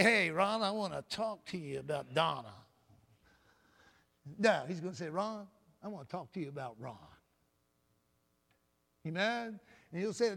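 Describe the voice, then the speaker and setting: A man preaching a sermon with a loud voice, often calling out "Amen?" and swinging from booming to soft. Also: vocal fry. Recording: long hall reverb.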